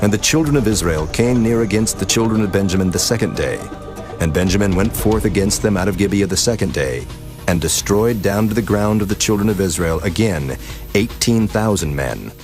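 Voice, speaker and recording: A man narrating scripture from a script in a solemn, measured manner. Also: fairly low pitch, expressive. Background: music.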